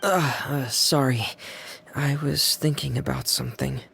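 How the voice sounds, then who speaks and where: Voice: medium pitch, steady tone, a little slow, creaky, a bit bright, a little hiss or hum. A performer playing a shy, gloomy young boy in a video game, hesitant and subdued. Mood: hesitant, uneasy.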